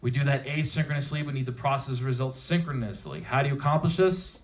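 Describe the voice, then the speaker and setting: A man with a mid-pitched voice presents as a conference speaker. He talks informally and drives his points home with emphasis, often posing rhetorical questions to his audience. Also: quick pace. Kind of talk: technical presentation to a live audience. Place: theater at a developer meetup.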